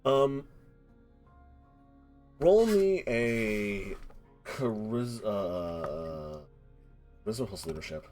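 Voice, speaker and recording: A man narrating a tabletop roleplaying game as game master, halting and repeating words as he improvises. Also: on the low side, lively intonation, darkish tone. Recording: music playing behind the voice. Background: music.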